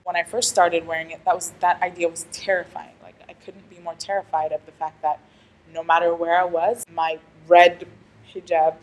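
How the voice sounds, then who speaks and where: A woman's voice: on the low side, flat delivery, moderate pace, low-quality recording. A young woman from Algeria, a student, giving hesitant, earnest interview answers with many restarts. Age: young adult.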